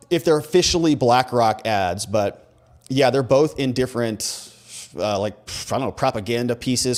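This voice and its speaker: A man with an American accent hosting a casual live commentary stream, talking conversationally and informally as he reads and answers messages. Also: medium pitch. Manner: informal, conversational, rhetorical questions. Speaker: host, legal commentator. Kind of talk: casual livestream commentary, reading viewer messages.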